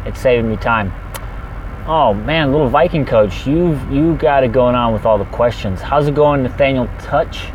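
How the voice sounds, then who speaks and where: A man's voice: mid-range voice, muffled recording, slight background noise. A man who drives a truck for a living, chatting energetically on a livestream and reading out viewer comments. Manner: energetic, chatty, fast-talking.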